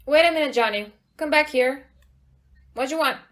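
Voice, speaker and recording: A woman playing a brisk, no-nonsense police inspector in an audio play, speaking matter-of-factly. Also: high-pitched, fairly bright tone. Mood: firm. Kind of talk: amateur audio play acting.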